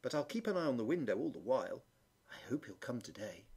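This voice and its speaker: A woman voicing a kindly, thoughtful old male shoemaker in a storybook, with a husky voice. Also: very deep voice, very animated, quick pace, warm tone, voice pushed down, heavily acted. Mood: cheerful. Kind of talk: storybook character reading.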